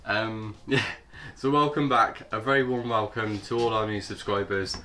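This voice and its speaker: A man with a cold, sounding hoarse, hosting his vlog in a chatty, hesitant way. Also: fairly low pitch, steady tone, moderate pace, pushed and bright, slight background noise. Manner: chatty, hesitant with many fillers. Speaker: channel host. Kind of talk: casual vlog intro.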